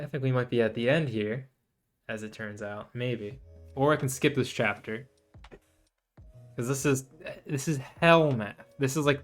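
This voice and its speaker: A man's voice: mid-range voice, a bit bright. A man livestreaming in a casual chat style, rambling and thinking aloud as he hosts. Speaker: livestreamer, VTuber host. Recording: music behind the voice. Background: background music, guitar.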